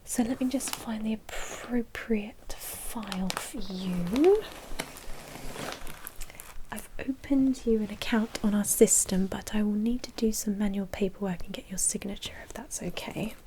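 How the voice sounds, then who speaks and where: A woman's voice: medium pitch, a little slow, noisy background, lightly acted. A woman playing a polite, businesslike vampire blood bank manager in a whispered ASMR roleplay, explaining procedures in a helpful, officious way.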